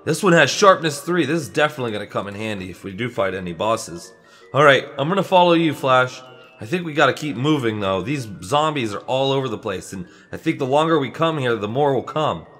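A man's voice: medium pitch, fairly quick, faint music behind, natural voice. A young man playing a friendly, eager superhero in a casual, chatty, improvised video game roleplay. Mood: excited. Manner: chatty, excitable, buddy-like.

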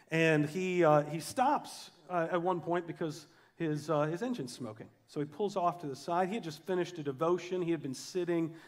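A man in his thirties preaching to a congregation in an informal, emphatic way, with wry asides. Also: a little high. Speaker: pastor, guest preacher. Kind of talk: sermon to a live congregation. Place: church auditorium stage, indoors.

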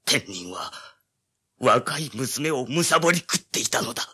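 An actor playing an old village man in an audio drama, recounting dreadful events gravely in old-fashioned speech. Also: medium pitch, very animated, slow, pushed and bright, a little hiss or hum, heavily acted. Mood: ominous.

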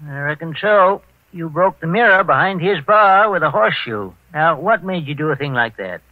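Man acting folksy sidekick, highish pitch, homespun slangy talk, dry and dull vintage radio recording. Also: expressive, normal speed, dry recording, lightly acted. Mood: curious. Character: folksy, plain-spoken male sidekick to a detective. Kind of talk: 1940s radio character acting.

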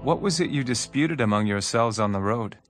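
Guy playing a grave holy teacher in a bible audio drama, deepish measured voice, soft music under. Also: lively intonation, close to an everyday voice. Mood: solemn teaching.

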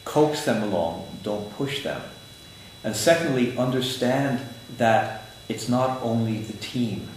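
An older man, Swiss-born and raised in the United States, answering interview questions in a measured, explanatory way with some dry humor, in an office. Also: mid-range voice, a little hiss or hum. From Switzerland, raised in the United States. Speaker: interview guest, agile consultant. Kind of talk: conference interview. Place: office room, indoors. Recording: echoey room with faint ambience.

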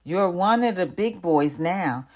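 A woman's voice: on the low side, lively intonation, slow pace, darkish tone. A woman voicing a kindly, jovial elderly male barber in a picture book, friendly and encouraging.

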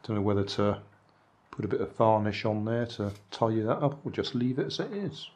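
A man's voice: lowish voice, lively intonation, a little fast, a little mellow. An older man in casual show-and-tell narration, chatting dryly about charity shop finds while handling them at a table. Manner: dry, chatty, musing asides. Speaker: vlog host reviewing charity shop finds. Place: indoors at a table, hands on camera.

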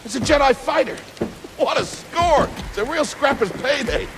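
A weathered older alien dockworker in a sci-fi game. The voice is gravelly and fairly high, swinging freely in pitch as it rambles like a world-weary mentor. Rain and machinery rumble clearly behind it. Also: male voice. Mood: chatty, wry. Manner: chatty, halting, expressive. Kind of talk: cinematic video game acting.

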